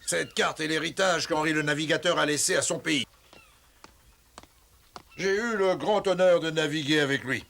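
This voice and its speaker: A man's voice: on the high side, normal speed, creaky, pauses often, a bit bright, slight background noise. A man playing a nostalgic, proud old seafarer who instructs and reminisces in a dubbed cartoon. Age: older. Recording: music behind the voice.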